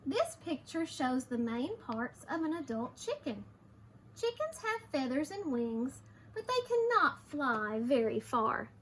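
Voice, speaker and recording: A woman teacher reading aloud to young children, expressive, with simple explanations along the way. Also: high-pitched, a little slow.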